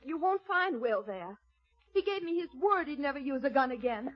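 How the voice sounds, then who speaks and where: An actress playing an anxious, loyal Western ranch wife with an insistent, pleading manner in 1950s radio drama. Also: female voice, sits high, expressive, moderate pace. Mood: pleading denial. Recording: muffled, lo-fi old radio recording.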